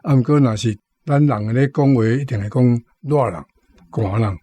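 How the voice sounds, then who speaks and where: A man's voice: medium pitch, moderate pace, mellow, dry recording. A man with a Taiwanese accent hosting on radio, explaining words conversationally and repeating his points. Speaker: radio show host. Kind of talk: explanatory commentary on Taiwanese vocabulary.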